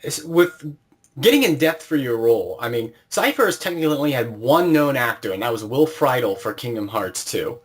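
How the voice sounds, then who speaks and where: A man hosts a casual interview in a middle-pitched voice. He talks informally, setting up questions and explaining details as he goes. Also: big pitch swings, a little fast. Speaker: interviewer and series creator.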